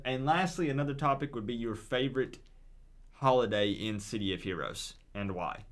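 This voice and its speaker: A man hosting a casual live call-in stream, speaking informally and encouragingly straight to his viewers. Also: mid-range voice. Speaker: livestream host.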